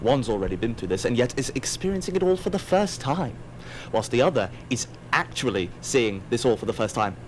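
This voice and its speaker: A man's voice: mid-range voice, sing-song, a little fast. Wry male narrator over faint noise. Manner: wry, theatrical. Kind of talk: scripted audio drama narration.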